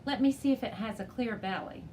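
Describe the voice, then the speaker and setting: Woman putting on an excitable, exclaiming young boy. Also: on the high side, brisk, distant mic, slight background noise, lightly acted. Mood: eager. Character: impulsive, excitable boy on a racing team.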